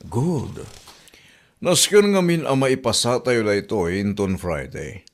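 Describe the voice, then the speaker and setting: A man's voice: medium pitch, expressive, unhurried. A man with an Ilocano accent playing an office boss handing out work in a radio comedy drama. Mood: businesslike. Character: male office boss assigning work to staff.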